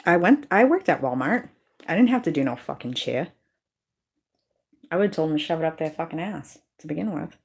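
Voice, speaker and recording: Low-voiced woman with a Worcester accent. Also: animated, brisk, vocal fry, pauses often, darkish tone, husky. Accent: Worcester, Massachusetts. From Massachusetts. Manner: blunt, profane and chatty. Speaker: YouTuber hosting a live stream. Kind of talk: casual live-stream chat.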